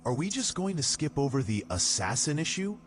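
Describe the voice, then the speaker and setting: A man's voice: fairly high pitch, very animated, a little fast, slight background noise. An actor playing a cautious, dutiful castle guard in a fantasy audio drama, courteous and formal as he keeps the peace.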